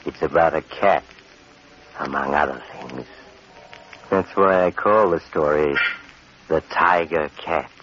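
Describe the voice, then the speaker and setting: Sinister suave doctor host, extremely deep voice, theatrical horror narration, crackly muffled old radio. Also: male voice, takes it slowly, long pauses. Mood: sinister, courteous. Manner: ominous, theatrical, mock-courteous. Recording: muffled, noisy, crackly 1945 radio recording.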